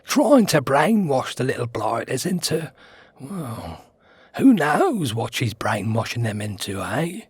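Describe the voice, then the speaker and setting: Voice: medium pitch, very animated, slow, husky, no echo, full character voice. A performer playing a gossipy, grumbling British busybody in a radio sitcom, muttering in a conspiratorial, sardonic way. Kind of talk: radio sitcom character acting.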